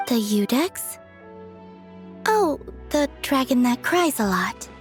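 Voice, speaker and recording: A woman voicing a guileless, curious young woman in a video game, gentle and innocently blunt. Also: high-pitched, sing-song, slow pace, full character voice. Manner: innocent, matter-of-fact. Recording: faint background music.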